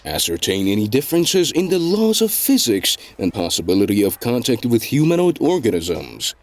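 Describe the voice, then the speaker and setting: A man reading scripted story narration in a measured, deliberate storytelling delivery. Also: medium pitch, big pitch swings. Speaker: narrator of a web novel fandub.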